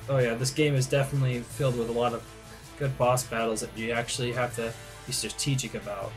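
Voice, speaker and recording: A man's voice: mid-range voice, steady tone, quick pace. Man rambling casually over game music. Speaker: host of a game playthrough video. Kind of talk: casual gaming commentary.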